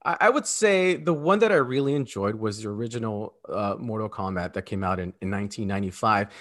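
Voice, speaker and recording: A man's voice: on the low side, animated, brisk, a bit bright. A man in his forties talking casually and emphatically as a podcast co-host, like an enthusiastic fan. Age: 40s. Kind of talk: casual chat.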